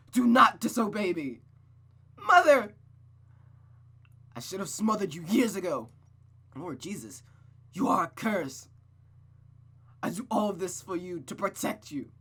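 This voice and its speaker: A woman voicing an abusive, furious religious mother who shouts cruel threats at her daughter, read from a visual novel. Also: medium pitch, husky, voice pushed up, exaggerated voice. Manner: shouting, venomous.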